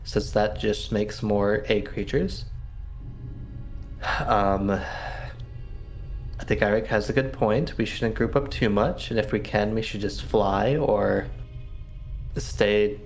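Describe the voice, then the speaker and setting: Male roleplay gamer, lowish voice, casual and hesitant thinking aloud, soft music underneath. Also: takes it slowly, pauses often, poor audio. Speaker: tabletop game player. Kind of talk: casual in-game planning chat.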